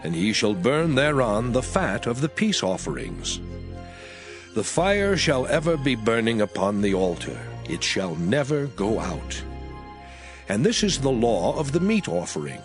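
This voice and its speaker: Solemn man reading scripture with lively intonation. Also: medium pitch, over music. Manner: solemn, measured, declamatory. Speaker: scripture reader.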